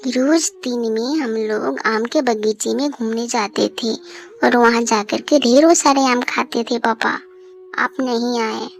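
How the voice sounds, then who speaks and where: A woman voicing an excited little boy in a cartoon story. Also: on the high side, measured pace, gravelly. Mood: excited, eager. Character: excited little boy, a mango-loving grandson. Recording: music behind the voice.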